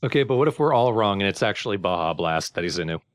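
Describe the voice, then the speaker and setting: Male gaming co-commentator, slightly low voice, loose conversational banter. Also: animated, fast talker, clear, resonant tone, dry recording. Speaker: let's play co-commentator. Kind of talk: casual chat over gameplay.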